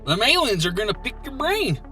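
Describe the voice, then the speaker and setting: A man with a rural American accent co-hosting a comedy podcast, bantering in a folksy, excitable, argumentative way. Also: very high-pitched, fairly quick, soft background music. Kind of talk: comic banter.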